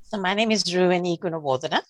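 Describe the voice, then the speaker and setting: A woman's voice: lowish voice, fast talker, no echo. A middle-aged woman from Sri Lanka, a church administrator, who answers interview questions in a thoughtful, hesitant way with many fillers. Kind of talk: conversational interview.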